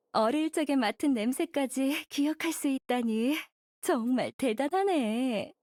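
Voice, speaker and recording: Playful bookish mentor lady, high voice, lilting delivery, composed, anime game, dry studio sound. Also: animated, takes it slowly, darkish tone, no echo. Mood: fond, amused.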